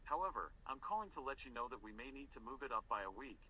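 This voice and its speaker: A man's voice: medium pitch, lively intonation, quick pace, pushed and bright, far from the mic, slight background noise. A man playing a polite, formal publishing company manager in a scripted phone-call role play. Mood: polite, businesslike.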